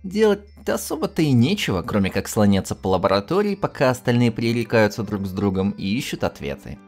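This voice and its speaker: A man's voice: fairly low pitch, sing-song, a little fast, darkish tone, soft background music. A man reading scripted story narration in a dramatic style.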